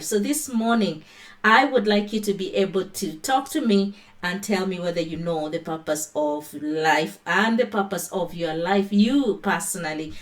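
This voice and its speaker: A Kenyan woman hosting a casual livestream from her phone, greeting viewers by name and moving into a Bible talk. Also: mid-range voice. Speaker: livestream host.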